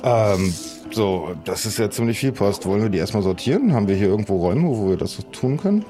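A man playing a gruff, swooping low-voiced pirate. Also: lively intonation, a little fast, over music, close to an everyday voice. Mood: pondering. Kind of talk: improvised audio play acting.

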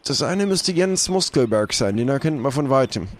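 A man playing in an improvised role-play story, talking in a colloquial, halting way. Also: medium pitch, expressive. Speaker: player in an improvised pirate role-play podcast. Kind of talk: casual improvised storytelling and dialogue.

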